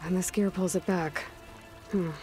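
A young huntress in a video game, played by a woman, with a low voice over prominent music. She is practical and curious, a little guarded, and speaks naturally. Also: fairly even intonation, measured pace, natural voice. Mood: focused. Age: young adult. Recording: music behind dialogue.

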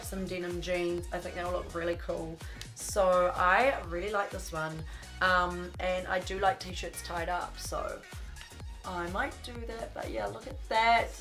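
A woman's voice: on the low side, fairly bright tone. A young woman, blocked up from a cold, gushing casually through a clothing haul in a small bedroom studio. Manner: chatty, gushing, lots of "like". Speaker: fashion vlogger.

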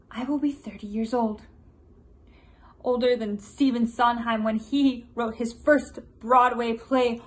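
Young woman as an anxious, slow-speaking struggling writer. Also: high-pitched, darkish tone. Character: anxious, restless male musical writer nearing thirty. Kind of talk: theatrical audition monologue.

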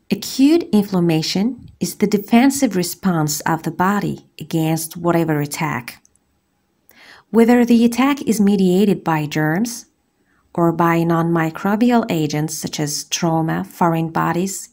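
A woman from Algeria, a gynecologist, giving a scripted medical lecture as a voice-over on slides, measured and precise. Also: fairly low pitch, a little mellow. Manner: measured, deliberate, precise enumeration.

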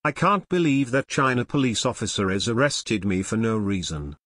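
A jailed young man, read by a male text-to-speech voice pleads and whines. The voice is flat and computer-made, with a mid pitch. It is dry and close. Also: normal speed, no echo. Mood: pleading. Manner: whiny, pleading.

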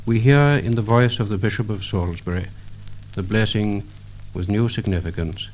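Low-pitched, solemn British male narrator. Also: a little hiss or hum, low-quality recording. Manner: solemn, measured, literary delivery. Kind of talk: 1950 scripted commemorative record narration. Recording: vintage 1950 LP recording.

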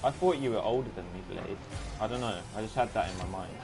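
A man's voice: lowish voice, fast talker, over music, low-quality recording. A man in his twenties streaming a game, chatting casually with his viewers between plays. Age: 20s. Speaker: gaming streamer. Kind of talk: casual live-stream chat while playing.

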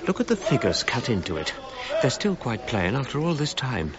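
An actor playing a reserved, authoritative British archaeologist in a radio drama, speaking formally with clipped phrasing. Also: male voice, mid-range voice, huge pitch swings, normal speed, highs cut off. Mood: intrigued, dry.

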